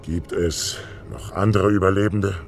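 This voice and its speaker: Ein Mann spielt einen ruhigen, autoritären außerirdischen Jedi-General in einem Zeichentrick-Hörspiel. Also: male voice, low voice, steady tone, a little slow, soft background music. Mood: grave. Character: calm, authoritative male alien Jedi general, caring leader. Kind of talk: German animated-series audio drama dubbing.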